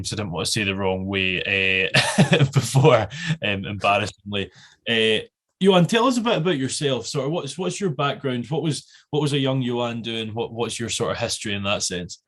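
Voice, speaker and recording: Chatty young podcast host, lowish voice. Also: male voice, a bit bright. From Britain. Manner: chatty, rambling, many fillers and restarts. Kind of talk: casual podcast chat.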